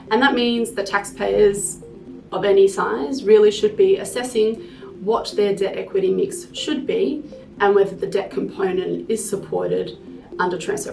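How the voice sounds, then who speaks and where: A woman's voice: medium pitch, vocal fry, a little mellow. A woman hosting a professional explainer, talking in a structured, informal way. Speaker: host of a tax explainer series. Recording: roomy, distant mic, music bed.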